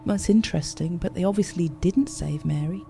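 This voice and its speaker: An actress playing a thoughtful, questioning time-travel investigator in an audio drama, speaking earnestly. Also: female voice, lowish voice, fairly quick, creaky, warm tone, faint music behind. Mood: earnest, worried.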